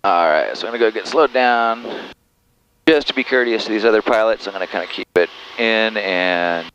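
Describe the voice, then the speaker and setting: Low-voiced man narrating in a noisy cockpit. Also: moderate pace, a bit bright. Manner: talks himself through each step. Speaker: student pilot flying solo. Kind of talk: self-narrated cockpit commentary. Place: small aircraft cockpit in flight. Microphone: aviation headset. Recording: noisy aircraft cabin, engine drone. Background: aircraft engine noise.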